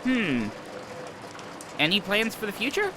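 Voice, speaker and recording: A man's voice: high voice, huge pitch swings, measured pace, noisy background. A man streaming a game, reading its dialogue aloud in a wry, playful way.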